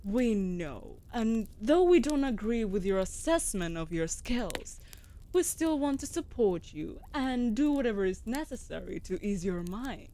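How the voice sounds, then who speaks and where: A woman's voice: on the high side, lively intonation, unhurried, dark tone, a little hiss or hum, low-quality recording. A woman playing a mage's devoted wolf familiar in a fantasy audio drama, formal, firm and reassuring. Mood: worried, supportive. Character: female wolf familiar, protective, devoted and earnest. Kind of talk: scripted fantasy audio roleplay.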